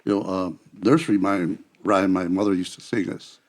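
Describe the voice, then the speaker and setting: A man's voice: low voice, fairly even intonation, normal speed. A middle-aged or older man chatting casually as a podcast guest. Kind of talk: casual chat.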